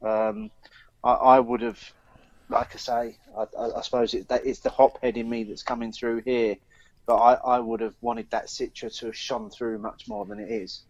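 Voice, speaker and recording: A man co-hosting a podcast in casual review chat, haltingly repeating words as he thinks aloud. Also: mid-range voice, level tone. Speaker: podcast co-host. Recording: dull, slightly lo-fi voice recording.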